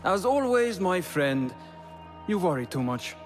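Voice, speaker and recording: An actor playing a smug, mocking officer among conspirators in a dramatic video game. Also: male voice, high-pitched, big pitch swings, measured pace, faint music behind. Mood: smug, teasing.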